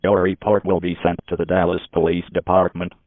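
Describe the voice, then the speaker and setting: A tinny, narrow broadcast channel carries a synthetic man's voice. It is low and very monotone, reading a warning bulletin with formal, stilted phrasing. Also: brisk. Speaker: emergency alert announcer. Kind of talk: scripted emergency alert announcement. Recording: tinny, phone-like alert broadcast.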